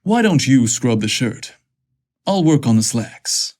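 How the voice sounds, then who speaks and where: Man playing confident, flirtatious male boss, slow-paced. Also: medium pitch, sing-song, darkish tone. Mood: assured.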